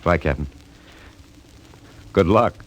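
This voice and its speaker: A deep male voice as a renegade spaceman, earnest and idealistic, defiant but resigned to his fate. It is played as 1950s radio drama, with faint noise on a vintage recording. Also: takes it slowly, rough recording.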